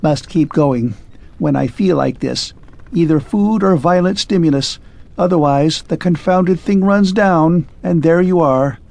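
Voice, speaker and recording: A man's voice: fairly high pitch, a little slow, vocal fry, darkish tone, voice pushed up. A man reading a storybook and voicing a clockwork man who speaks in clipped, broken phrases and barks like a dog. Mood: anxious. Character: male clockwork man, glitching and anxious.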